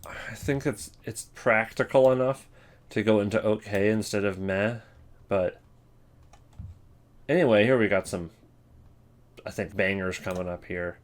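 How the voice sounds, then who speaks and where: Male host, slightly deep voice, casual rambling commentary, thinking out loud, some typing and clicking. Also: unhurried, long pauses. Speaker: host ranking video game voice lines.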